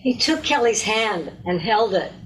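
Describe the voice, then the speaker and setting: A woman narrates a scripted story for readers theater. Her voice sits at a middle pitch. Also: animated, measured pace, low-quality recording.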